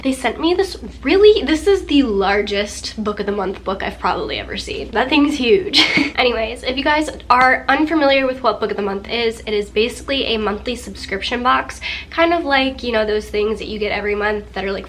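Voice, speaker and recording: A woman's voice: a little high, fairly bright tone, a little hiss or hum. A young woman vlogging about books in a chatty, gushing way, sounding nasal from a recent cold. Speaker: book vlogger. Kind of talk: casual sponsored book chat.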